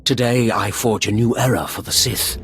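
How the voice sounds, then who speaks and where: An actor playing a vengeful Sith lord in a video game, making grandiose, menacing declarations. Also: male voice, medium pitch, steady tone, normal speed, a bit bright, a little hiss or hum, lightly acted. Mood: vengeful, triumphant. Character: vengeful Sith lord, a grieving father and fanatical villain. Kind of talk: scripted video game acting.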